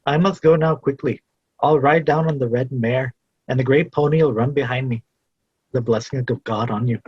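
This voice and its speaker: Husky, resolute young seafaring son, acted by a man. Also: medium pitch, moderate pace, no room sound, highs cut off, lightly acted. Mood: resolute, hurried. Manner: practical, firm. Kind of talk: stage drama read as virtual play.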